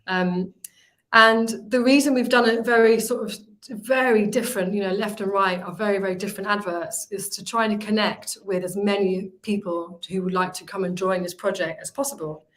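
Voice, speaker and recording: A woman's voice: mid-range voice, steady tone, moderate pace, fairly bright tone, low-quality recording. A woman, presenting her recruitment company on a video call, talking freely with many fillers and restarts.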